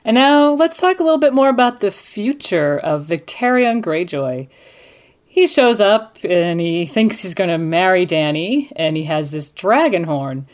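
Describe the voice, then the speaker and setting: A woman with a slightly low voice and lively intonation hosts a discussion podcast. She sums up neatly and steers from topic to topic. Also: a little slow. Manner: organised, summarises and steers topics. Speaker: podcast host. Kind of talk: hosting a discussion, partly scripted.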